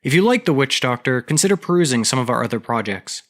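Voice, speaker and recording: A man hosting his own podcast, narrating a story and giving announcements in a steady, measured way. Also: mid-range voice. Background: background music.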